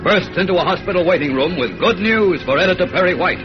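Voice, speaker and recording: Dramatic, animated male serial narrator. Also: high-pitched, fast, music in the background. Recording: muffled old radio recording.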